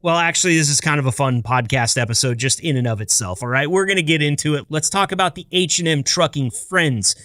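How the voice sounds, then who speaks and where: A man's voice: a little high, fairly quick, few pauses, sharp tone. An American man, around his thirties, hosting a podcast in a casual, chatty style with upbeat, playful patter. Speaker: podcast host. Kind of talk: casual, chatty scripted-loose podcast intro.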